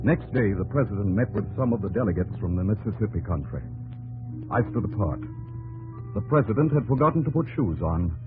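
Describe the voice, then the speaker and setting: An actor playing a dutiful young presidential secretary who narrates a 1950s radio drama in a respectful storytelling manner. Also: male voice, lowish voice, expressive, fairly quick, phone-quality sound, close to an everyday voice. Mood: narrating. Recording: old radio broadcast with music behind.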